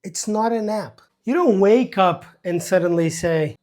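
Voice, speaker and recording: A man teaching an online English lesson in a slow, emphatic way, with joking asides. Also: sits high, darkish tone.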